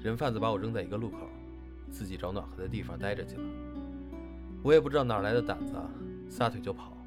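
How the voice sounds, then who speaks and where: 一位男演员在广播剧里饰演沉稳、宠溺又爱调侃的相声搭档兼恋人，语气含蓄带点揶揄。. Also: male voice, on the low side, quick pace, natural voice. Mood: wistful recounting. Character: steady, teasing older male comedy partner and lover. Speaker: voice actor. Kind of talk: intimate audio drama dialogue. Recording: music behind the voice.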